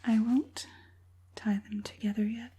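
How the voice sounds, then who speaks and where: A woman playing a sinister, coaxing witch puppet master in a whispered ASMR roleplay, speaking softly and deliberately in a breathy whisper. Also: on the high side, steady tone. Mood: calm, scheming. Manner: slow, soothing, deliberate, menacingly sweet.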